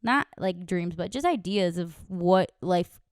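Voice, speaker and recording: A young woman in her twenties chats on a podcast with a smooth, resonant voice. She talks in a bubbly, rambling way, full of "like". Her voice is close and dry, with no room sound. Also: fairly low pitch, animated, a little slow, no room sound. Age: 20s. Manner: bubbly, chatty, many "like" fillers. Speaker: podcast co-host. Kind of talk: casual chat.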